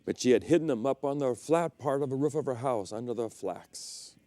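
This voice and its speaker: A middle-aged man preaching a sermon as pastor, telling personal stories and swinging between loud emphatic peaks and quiet, low passages. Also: brisk, dry recording. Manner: storytelling preacher, personal anecdotes.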